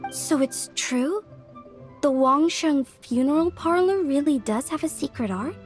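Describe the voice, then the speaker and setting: A woman playing a polite, composed, bookish young woman in a video game, speaking in a measured, articulate way. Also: sits high, faint music behind. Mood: polite curiosity.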